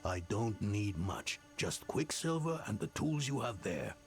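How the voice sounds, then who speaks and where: An actor playing a weary, earnest old scholar in a fantasy game, gravelly and halting. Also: male voice, lowish voice, very animated, soft background music. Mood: persuasive. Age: older. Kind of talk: dramatic video game acting.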